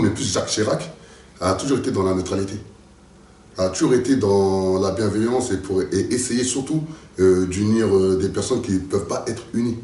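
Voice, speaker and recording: A man's voice: fairly low pitch, darkish tone, echoey room, far from the mic. A man from France answering interview questions in an emphatic, repetitive, speechlike way. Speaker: local political activist and candidate.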